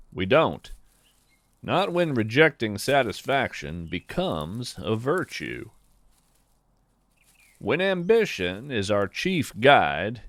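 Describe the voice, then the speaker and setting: A man reading a scripted, reflective podcast narration in a measured, deliberate manner with pauses and emphatic phrasing. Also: mid-range voice, dry recording. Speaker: podcast host and writer.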